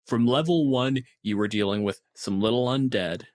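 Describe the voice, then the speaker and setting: Guy with an average-pitch voice chatting tabletop games on a podcast, explains stuff animatedly, swears a lot. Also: normal speed, a little mellow. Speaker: podcast co-host. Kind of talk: casual tabletop game discussion.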